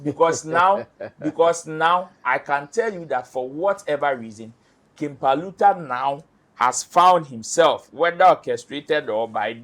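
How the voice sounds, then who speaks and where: A man's voice: fairly high pitch, animated, a little slow, fairly bright tone. A man with a Ghanaian accent, arguing passionately as a panelist on an entertainment talk show, firing off rhetorical questions. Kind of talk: animated studio discussion.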